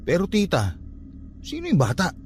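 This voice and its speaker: Young Filipino male lead for radio drama: higher-pitched, earnest, slightly testy, music underneath. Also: huge pitch swings, normal speed. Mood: troubled, pleading. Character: troubled young man, earnest and a bit testy.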